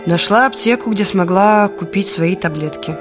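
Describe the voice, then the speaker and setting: A woman's voice: medium pitch, moderate pace, over music, lightly acted. An actress playing a wry, stubborn young woman in an audio play monologue, speaking with dry irony. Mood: dryly ironic. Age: young adult.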